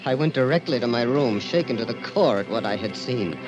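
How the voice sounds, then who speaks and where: An actor playing a haunted, thrill-addicted man who tells his own dark story in intense, confessional 1940s radio style. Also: mid-range voice, expressive, fairly quick, lightly acted. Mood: shaken, urgent. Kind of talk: 1940s radio acting, first-person narration. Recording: dull old radio recording. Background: dramatic music.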